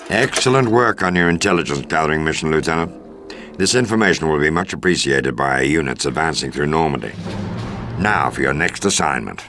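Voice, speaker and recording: American army officer, super deep voice, stern formal game briefing w music behind him. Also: male voice, expressive. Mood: brisk, resolute. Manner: authoritative, measured, formal. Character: commanding older American military officer briefing a soldier. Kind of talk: scripted video game mission briefing.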